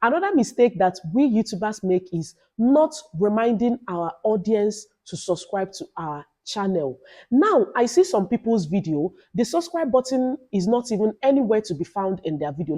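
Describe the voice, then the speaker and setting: Woman, Nigerian accent, loud, warm mellow tone, mid pitch, emphatic, instructive, informal vlogger advice. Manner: emphatic, instructive, repeats phrases and adds "okay?". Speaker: YouTuber giving advice to new creators. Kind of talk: informal advice vlog with Nigerian Pidgin asides.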